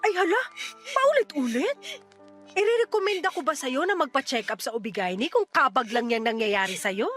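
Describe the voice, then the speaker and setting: A woman playing a feisty, joking, devoted single mother of about forty in a Filipino radio drama, with a breezy, teasing, expressive delivery and a Filipino accent. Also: sits high, normal speed, soft background music.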